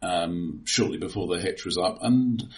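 A man's voice: low voice, moderate pace, darkish tone, poor audio. A man co-hosting a conversational film discussion, explaining technical points analytically and often restarting his words. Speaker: podcast co-host.

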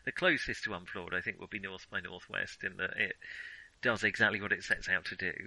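A man with a very bright, crisp-edged voice talks through his views on film as a co-host. He feels his way through opinions, hesitating and rephrasing. The sound is dry, with little room around him. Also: sits low, fairly even intonation, fairly quick, dry recording, low-quality recording. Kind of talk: casual film discussion.

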